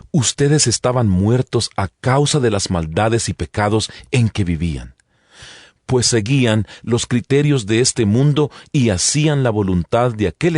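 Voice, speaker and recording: Low voiced guy reading the bible like a dramatic audiobook, solemn and really expressive, music behind. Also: no echo. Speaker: Bible narrator. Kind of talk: dramatized scripture audiobook narration. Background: background music.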